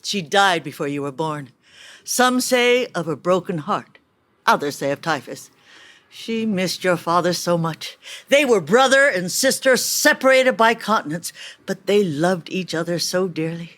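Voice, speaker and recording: A woman's voice: on the low side, lively intonation, unhurried, fairly bright tone. An actress in an audio play as a blunt, intense Chinese cousin, a weary factory worker who warns her relatives in an urgent, bossy way. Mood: wistful.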